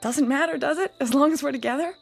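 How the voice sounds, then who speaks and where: A woman's voice: sits high, fast, gravelly, soft background music. An actress playing an impulsive, ardent young bride-to-be in a radio drama, earnest in her delivery. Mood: urgent, elated. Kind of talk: dramatic radio play acting.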